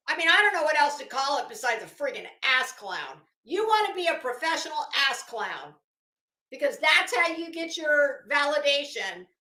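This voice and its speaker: A woman's voice: high-pitched, bright, forceful tone. A middle-aged woman giving casual livestream commentary in a brash, opinionated, sweary way, with dramatic emphasis. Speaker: livestream host. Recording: echoey room.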